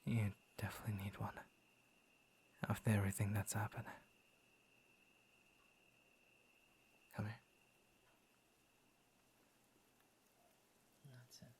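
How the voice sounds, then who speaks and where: A man playing a gentle, lonely young werewolf in an intimate ASMR roleplay, speaking softly and often slipping into a breathy whisper. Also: fairly low pitch, flat delivery, a little hiss or hum, close to an everyday voice. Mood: warm, affectionate. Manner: soft, breathy, often dropping into whispers, hesitant pauses. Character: gentle, lonely young male werewolf turned tender suitor. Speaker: voice actor in audio roleplay. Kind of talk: ASMR boyfriend roleplay, intimate scripted monologue.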